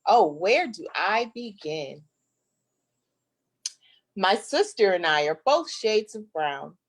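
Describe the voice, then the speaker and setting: A woman from Flint in the United States, an author reading her children's book aloud with deliberate, playful expression. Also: medium pitch, very animated.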